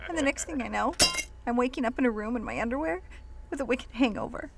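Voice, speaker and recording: High, creaky-voiced frightened young dancer. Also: female voice, quick pace, a loud crowd, rough recording. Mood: uneasy recounting. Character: frightened young dancer woman, pleading and candid. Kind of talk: radio drama acting.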